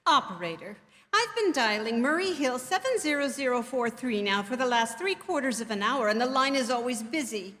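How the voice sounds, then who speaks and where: An actress playing an anxious, querulous invalid wife alone at home, in old-time radio suspense style. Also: female voice, on the high side, very animated, moderate pace, fairly bright tone. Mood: fretful, impatient. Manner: agitated, querulous, imploring. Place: live stage in a theatre. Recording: reverberant theatre hall, faint noise.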